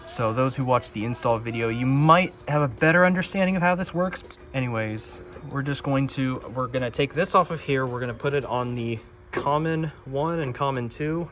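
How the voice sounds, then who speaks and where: A man's voice: mid-range voice, moderate pace, a bit bright. A man giving an informal hands-on walkthrough, talking casually as he works. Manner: informal, talking while working with his hands. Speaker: host of a hobby fire alarm channel. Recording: music playing behind the voice.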